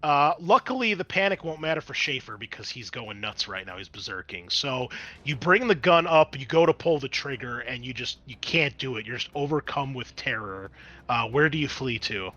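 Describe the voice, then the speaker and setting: A man acting as game master, narrating a tabletop roleplaying game in an animated, exclamatory way with casual swearing. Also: mid-range voice, normal speed, highs cut off.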